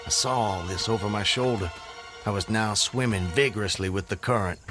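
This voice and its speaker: An actor in a radio drama playing a dazed fugitive who recounts his escape in a halting, dramatic, old-fashioned storytelling manner. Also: lowish voice, faint music behind, lightly acted. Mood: intense, vivid.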